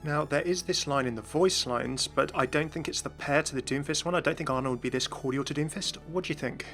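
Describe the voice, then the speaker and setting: A man's voice: a little high, quick pace, fairly bright tone, faint music behind. A man hosting a video about game voice lines with enthusiastic commentary. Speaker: video host.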